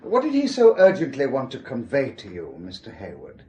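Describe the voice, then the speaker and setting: An actor playing a suave, probing German police inspector in a radio drama, formal and precise, with a German accent. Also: male voice, mid-range voice, huge pitch swings, moderate pace, a little mellow, echoey room, slight background noise. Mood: stern, insistent. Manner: formal, precise, elaborately polite.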